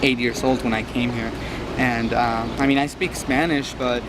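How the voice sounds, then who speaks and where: Young man, halting testimony, flat delivery, loud street noise behind. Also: medium pitch. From Mexico. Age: young adult. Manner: hesitant, many fillers and restarts. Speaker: interviewee, student. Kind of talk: personal testimony. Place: outdoors at a rally. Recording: loud outdoor background noise.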